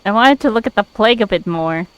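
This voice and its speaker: A woman's voice: medium pitch, animated, fast talker, clear, resonant tone, rough recording. A woman streamer giving casual, offhand reactions while playing a game. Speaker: gameplay streamer.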